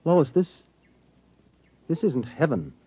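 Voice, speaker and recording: An actor playing a resolute, protective young rebel in a 1950s radio drama, speaking with earnest dramatic delivery. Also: male voice, fairly high pitch, sing-song, slow, husky, heavily acted. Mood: astonished. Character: resolute, protective young male rebel fleeing to Earth's surface. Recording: muffled 1950s radio recording.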